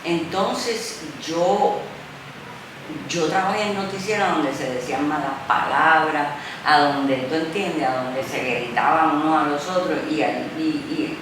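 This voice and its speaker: A middle-aged Puerto Rican woman, a journalist, answering interview questions in an animated way, with many "eh" pauses and English fillers. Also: deep voice. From Puerto Rico. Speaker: journalist, former news director. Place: art gallery space. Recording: echoey room, mic far off, noisy.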